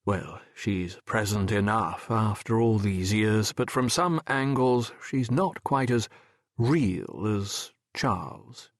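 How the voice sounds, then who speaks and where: A man narrating an audiobook as a wry, reflective French medical professor telling his memoir in a measured, erudite, wistful way. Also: lowish voice, dry recording, clean studio sound, full character voice. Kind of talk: scripted first-person literary narration.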